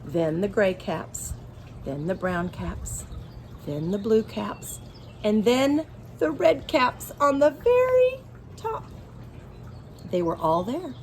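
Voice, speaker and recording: A woman reading a picture book aloud to young children in an expressive way, engaging her listeners as she goes. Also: mid-range voice, a little hiss or hum. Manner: expressive, pauses to ask listeners questions. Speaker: children's storybook reader.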